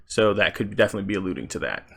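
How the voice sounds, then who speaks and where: A man giving casual video game commentary as a channel host, halting as he thinks aloud. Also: on the low side, lively intonation, gravelly, background noise.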